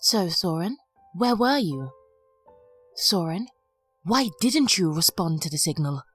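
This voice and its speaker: A woman playing an ancient female planeswalker, a reproachful former pupil, in a dramatic audio drama with deliberate intensity. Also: fairly low pitch, very animated, husky, soft background music, exaggerated voice. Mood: reproachful, searching.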